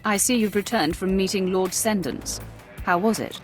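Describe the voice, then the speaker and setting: A woman's voice: medium pitch, normal speed, faint music behind, close to an everyday voice. An actress playing a commanding female Sith lord in a game, speaking in a cool, clipped, sardonic way. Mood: cool authority. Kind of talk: video game voice acting.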